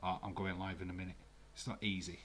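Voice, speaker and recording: A man's voice: low-pitched, level tone, fast, a bit bright, a little hiss or hum. A man with a Glasgow accent hosting a live watch-along stream from a room at home, chatting casually with viewers in a rambling way with many fillers. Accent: Glaswegian. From Scotland.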